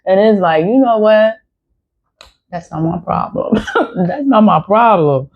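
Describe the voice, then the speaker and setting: A woman in her forties speaks straight to her viewers in a blunt, profane monologue. She piles up repeated phrases for emphasis at a middle pitch. The lo-fi recording sounds dull and muffled. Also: expressive, unhurried. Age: 40s. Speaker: spiritual vlogger.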